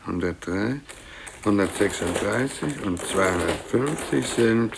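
An actor in a 1950s German radio play, playing a harried, irritable young office clerk who stammers, mutters and answers curtly. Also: male voice, lowish voice, lively intonation, measured pace, creaky, background noise. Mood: deferential, hesitant. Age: young adult.

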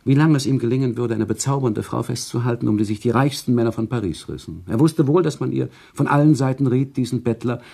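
An actor playing a thoughtful, sympathetic storyteller who narrates within a German radio play, deliberate and expressive. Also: male voice, medium pitch, creaky, husky. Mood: narrating. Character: thoughtful, sympathetic male storyteller, the author himself.

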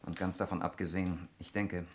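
Testy low-voiced lawyer, noisy old recording. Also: male voice, flat delivery, brisk. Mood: suspicious, scornful. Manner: testy, precise, argumentative. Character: irritable, suspicious male lawyer, adopted brother in murder mystery. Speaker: radio play actor. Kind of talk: 1960s German radio play acting. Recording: noisy 1960s radio recording with clicks.

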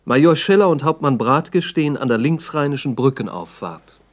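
A man's voice: mid-range voice, expressive, moderate pace. Sober male radio-play narrator. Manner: sober, matter-of-fact.

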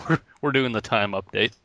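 Bright-voiced man joking on a podcast. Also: medium pitch, big pitch swings, unhurried. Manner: jokey, laughs often. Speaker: podcast co-host. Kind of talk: casual banter. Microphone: podcast mic.

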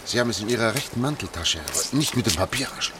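An actor in a German radio play playing a sober, methodical older man, speaking in a hushed, deliberate way. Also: lowish voice, lively intonation, close to an everyday voice. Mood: calm, urging. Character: sober, methodical older man guiding a girl through sewers. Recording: noisy track with trickling water. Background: running, dripping water.

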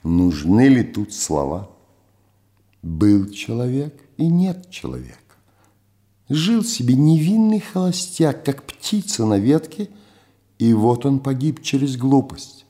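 An older man giving a performed literary reading in a theatrical, richly expressive way. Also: medium pitch, slow pace. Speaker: reader, actor.

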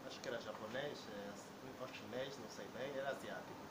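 A man's voice: medium pitch, fast talker. A man, joining a public debate with a hesitant, anecdotal way of speaking. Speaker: debate participant. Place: debate hall indoors. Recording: echoey room with background noise.

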